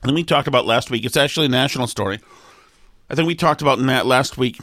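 A man's voice: medium pitch, a bit bright, no echo, studio quality. Sniffly, rambling New man. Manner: rambling, halting with fillers and restarts, then emphatic. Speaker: talk show co-host. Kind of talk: opinionated casual talk.

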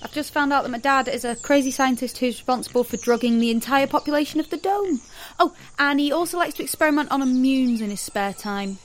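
An actress playing a young, rebellious daughter in an audio drama, speaking with sarcasm and raw emotion. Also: female voice, high-pitched, fast, hardly any pauses, close to an everyday voice. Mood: bitter, sarcastic.

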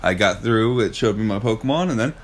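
A man's voice: lowish voice, animated, a little fast. A young man co-hosting a podcast, giving blunt, sweary opinions in casual chat. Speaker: podcast co-host.

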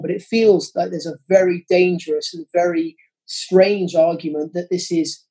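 A man answering interview questions with passionate, quick-flowing arguments. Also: fairly high pitch, warm tone, rough recording. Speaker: interview guest, parent.

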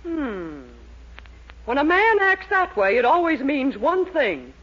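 Brief: crabby sitcom mother, sharp-tongued, high voice with a lively swing, dull old radio sound. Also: slow pace, full character voice. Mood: cranky. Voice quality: tart, sharp-tongued, theatrical delivery. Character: cranky, quarrelsome middle-aged mother. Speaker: Mildred's mother. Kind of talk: 1940s radio sitcom acting.

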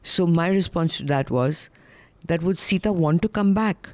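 A woman's voice: fairly low pitch, moderate pace, darkish tone. Wry Indian English woman. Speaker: panel guest. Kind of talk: casual panel discussion.

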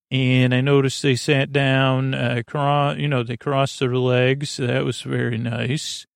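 A man hosting a bedtime podcast in a meandering, halting monologue, often interrupting himself, with a gently soothing, apologetic manner. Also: medium pitch, creaky, dry recording, clean studio sound. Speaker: podcast host.

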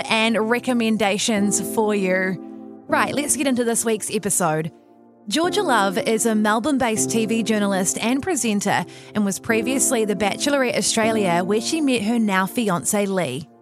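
A woman hosting an interview podcast, introducing the show and putting questions to a guest. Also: fairly high pitch. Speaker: podcast host.

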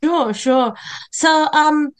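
Middle aged new woman, really slow talker, quiet, hesitant, lots of thinking pauses. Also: high-pitched. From grew up in New Zealand, of Fijian Indian background. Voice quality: quiet, soft-spoken. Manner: hesitant, reflective, with many pauses. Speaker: podcast guest, counsellor.

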